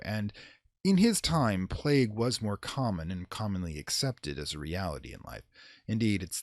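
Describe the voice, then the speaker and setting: Horror host and narrator: man with a very low, animated voice, dry close sound, dramatic and playfully ominous. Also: gravelly, dry recording. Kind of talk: theatrical horror storytelling, intro chat then dramatic reading.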